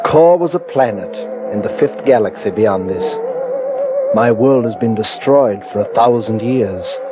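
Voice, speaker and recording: An actor playing a calm, commanding godlike alien man in an old radio drama, speaking in a solemn, formal, hypnotic way. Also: on the low side, measured pace. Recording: dull old radio recording, loud music underneath.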